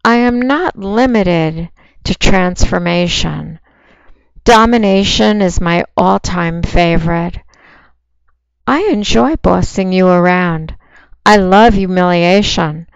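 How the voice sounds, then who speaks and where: A woman speaks in a soft, commanding voice at a slow, deliberate pace, every word very clean and crisp. She sounds fully in charge. The recording is dry and close but dull, with a muffled lo-fi sound. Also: fairly low pitch, dry recording. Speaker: phone sex operator. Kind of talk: promotional monologue.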